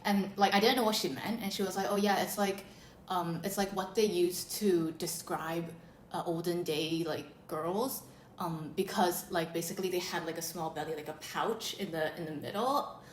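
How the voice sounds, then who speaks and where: A woman with a naturally deep voice tells a story in a loose, chatty way, full of fillers. Her voice sits low, and the room around her gives back a clear echo. A faint hum of ambience runs underneath. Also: fairly even intonation, moderate pace. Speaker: co-host of a beauty channel talk. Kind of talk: casual chat.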